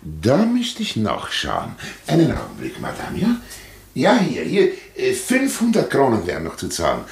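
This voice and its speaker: An actor playing a shrewd, servile delicatessen shopkeeper with a grievance in a German radio play, speaking with oily, theatrical flourishes. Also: male voice, mid-range voice, huge pitch swings, moderate pace, gravelly, crowd noise. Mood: sly. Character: obsequious, shrewd, aggrieved delicatessen shopkeeper, living alone. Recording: roomy, echoing radio play studio.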